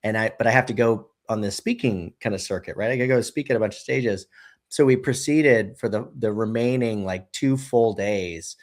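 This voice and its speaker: A man in his thirties speaks with a fairly low voice in an easygoing, meandering way. He keeps leaning on "you know" and "right?" as he tells his story to a podcast host. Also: moderate pace. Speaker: guest, brewery co-founder. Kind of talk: casual conversational storytelling.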